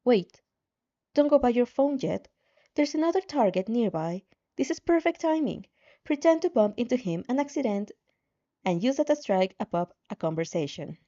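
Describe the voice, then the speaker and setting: A woman's voice: a little high, big pitch swings, normal speed, dark tone, highs cut off. A woman voicing a bossy, pushy system guide in a story, commanding and prodding.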